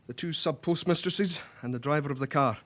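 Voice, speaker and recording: An actor playing a helpful, matter-of-fact police records officer in a radio drama. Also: male voice, a little high, a little fast, fairly bright tone, close to an everyday voice. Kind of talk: British radio drama acting.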